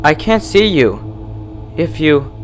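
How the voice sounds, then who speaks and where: A man's voice: a little high, big pitch swings, slow, faint music behind, pitched above the performer's own voice. A man voicing a frightened but defiant young female pony, snappy and agitated, in a horror fan fiction reading. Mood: nervous.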